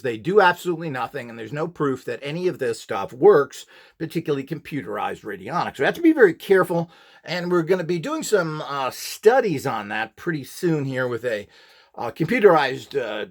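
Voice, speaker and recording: A middle-aged man giving an informal, opinionated lecture as an instructor, rambling off the cuff in a blunt, scornful and emphatic way. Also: mid-range voice. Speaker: instructor and host of a how-to video series.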